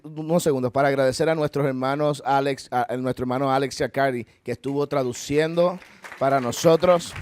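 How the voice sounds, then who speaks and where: A man's voice: medium pitch, slight background noise. A male pastor with a Puerto Rican accent, giving an earnest, conversational church talk and leading a closing prayer. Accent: Puerto Rican Spanish. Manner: earnest, conversational preaching with asides. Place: church hall.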